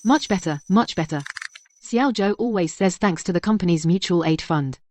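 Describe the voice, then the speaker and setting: A woman's voice: fairly low pitch, moderate pace, darkish tone, slight background noise, lightly acted. An actress playing a grateful middle-aged mother recovering from surgery in a dubbed melodrama, humble and thankful in manner.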